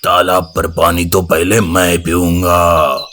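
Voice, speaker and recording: Man voicing a deep, slow, proud lion king. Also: monotone, bright, forceful tone, exaggerated voice. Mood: haughty. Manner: haughty, commanding, drawn-out delivery. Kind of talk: Hindi animated moral story dialogue.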